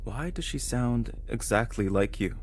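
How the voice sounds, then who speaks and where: A man's voice: mid-range voice, lively intonation, a little slow, lightly acted. An actor in an audio drama playing a deferential male official reporting to a superior. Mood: hesitant, formal.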